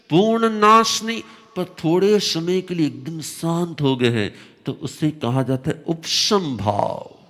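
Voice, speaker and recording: A man teaching a religious discourse, his voice slightly hoarse, explaining slowly with repetition and emphatic rises. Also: a little high, fairly bright tone. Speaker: Jain spiritual teacher.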